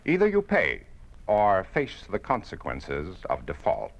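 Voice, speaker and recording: An actor playing a cold, officious tax official in an old radio drama, speaking formally and without bending. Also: male voice, low voice, wildly swooping pitch, unhurried, full character voice. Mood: stern, unyielding. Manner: formal, bureaucratic, unyielding. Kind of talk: 1950s radio drama acting.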